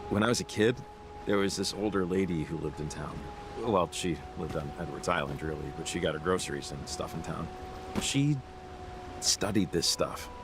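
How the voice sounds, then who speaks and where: A man playing an earnest, hesitant radio enthusiast in a video game, speaking naturally in halting, self-interrupting phrases. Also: low voice, noisy background. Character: earnest, hesitant male radio-technology enthusiast, eager to explain.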